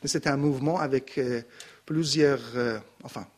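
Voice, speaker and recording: A middle-aged man from Iran with a Persian accent, giving a halting personal testimony to a congregation into a handheld mic, often restarting and searching for words. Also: medium pitch, fairly even intonation. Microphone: handheld microphone.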